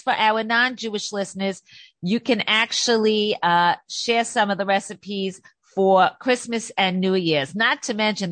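A woman hosting a celebrity talk show, introducing her guest and asking questions in an upbeat, deliberate way. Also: medium pitch, fairly bright tone, dry recording. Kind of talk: interview hosting.

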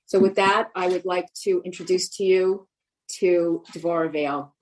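A woman's voice: lowish voice, fairly even intonation, moderate pace, a little mellow, rough recording. A woman hosting a video call, introducing the guest speaker while a little flustered and searching her notes. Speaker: program host introducing speaker.

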